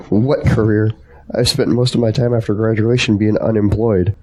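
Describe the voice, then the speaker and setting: An actor playing a devoted young adult son in an audio drama, sincere and plain-spoken, with a gravelly, husky voice. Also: male voice, lowish voice, steady tone, unhurried, warm tone, low-quality recording. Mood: resigned. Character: earnest, devoted young adult son, anxious for his family.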